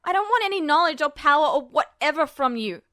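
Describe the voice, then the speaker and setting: Woman voicing Ed, a desperate, high-pitched, defiant youth. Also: expressive, brisk, no echo, studio quality. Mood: angry, desperate. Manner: gasping, stammering, shouting. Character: desperate, frightened, defiant, foul-mouthed young man, Ed. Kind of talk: audio fiction reading.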